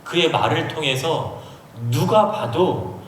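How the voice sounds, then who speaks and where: A man's voice: a little high, lively intonation, slow, slight background noise. A man from Seoul preaching a sermon as a pastor, explaining a passage and putting questions to his listeners. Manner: explains and asks the audience questions. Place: large university auditorium. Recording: echoey hall from far off.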